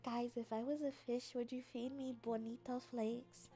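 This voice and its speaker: Young female livestreamer, high voice, silly and expressive casual chat, music underneath. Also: normal speed. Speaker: livestreamer chatting with viewers. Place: apartment.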